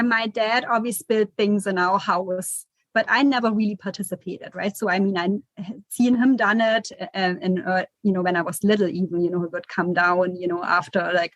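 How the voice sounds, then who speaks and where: A woman's voice: medium pitch, no room sound. A woman in her forties from Germany with a German accent, a guest architect giving chatty interview answers full of fillers. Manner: chatty, with many fillers and restarts.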